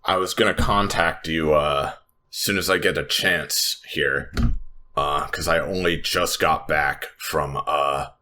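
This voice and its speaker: A man acts out a guarded, modified woman fighter in a roleplaying game. The delivery is slow, deep and understated, with plenty of hesitation. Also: steady tone, pushed and bright, exaggerated voice. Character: guarded, blade-armed modified woman recounting her exploits.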